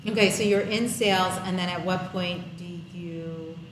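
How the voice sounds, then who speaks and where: A woman's voice: fairly low pitch, fairly even intonation, moderate pace. A woman hosting an interview, chatty and encouraging, with frequent short agreements. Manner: warm, chatty, many backchannels. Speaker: host. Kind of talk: casual interview. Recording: echoey room with background noise.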